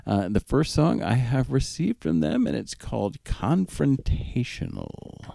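Male radio host, casual and conversational, animated intonation with a gravelly, creaky edge. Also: medium pitch, unhurried. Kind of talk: casual radio chat, announcing tracks.

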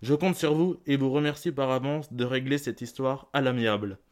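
A man's voice: medium pitch, steady tone, moderate pace, close to an everyday voice. A young male amateur actor playing a combative, protective father in a theatrical letter reading. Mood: polite menace. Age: teenager or young adult student.

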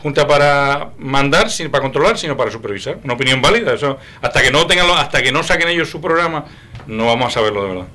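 A man's voice: medium pitch, animated, fast, bright, forceful tone. A man giving political commentary as a panelist in a live radio discussion.

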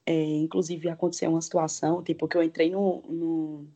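A woman's voice: low voice, fairly quick. A woman from Pernambuco with a strong Pernambuco accent, chatting casually as an interview guest and telling anecdotes. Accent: Pernambuco Brazilian Portuguese. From Pernambuco, Brazil. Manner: relaxed storytelling with quoted dialogue.

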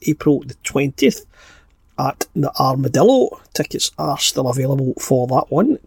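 A man's voice: on the high side, gravelly, husky, no echo. A man hosting a blues radio show in a chatty, easygoing way, his voice congested from a cold, speaking into a studio microphone. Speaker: radio DJ host. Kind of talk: casual radio presenting.

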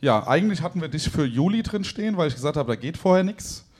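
A man's voice: on the high side, animated, fast talker, a little mellow. A man hosting a DJ show, talking casually with guests in speech and tagging sentences with "ne?". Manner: chatty, keeps adding "ne?" tags. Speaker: host of a DJ stream show. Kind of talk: casual interview chat.

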